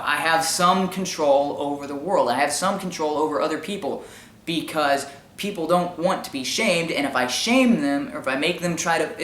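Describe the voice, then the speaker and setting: A man with a high-pitched voice giving informal opinion commentary in an explanatory, argumentative way with emphatic repetition. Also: a bit bright. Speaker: commentary show host. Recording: echoey room sound.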